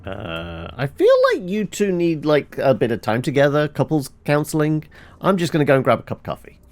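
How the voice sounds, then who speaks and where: A man's voice: on the high side, very animated, brisk. A man giving casual, jokey game commentary in a hesitant, offhand way. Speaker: gameplay streamer.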